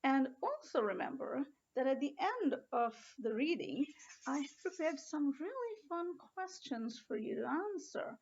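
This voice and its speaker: A woman reading a storybook aloud to children with expressive narration. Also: high voice, measured pace, creaky, highs cut off. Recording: faint background noise.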